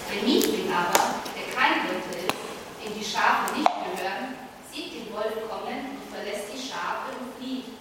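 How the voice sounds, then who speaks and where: A woman's voice: medium pitch, unhurried, very noisy. Distant woman reading scripture in echoey hall. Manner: steady reading. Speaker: congregation member reading scripture. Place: church hall.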